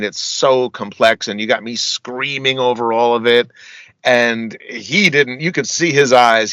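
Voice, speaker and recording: A man's voice: mid-range voice, sharp tone, dry recording, highs cut off. A middle-aged man, a metal singer, telling rambling stories as an interview guest, often asking "you know what I mean" and laughing between anecdotes. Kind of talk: casual storytelling interview.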